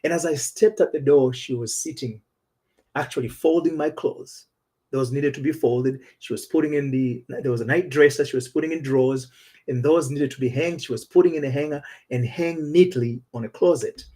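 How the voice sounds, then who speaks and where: A man's voice: medium pitch, normal speed, dark tone. A middle-aged man, a relationship coach telling a personal story in an earnest, personal way. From Tanzania. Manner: earnest and personal, with frequent restarts. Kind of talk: storytelling talk show monologue.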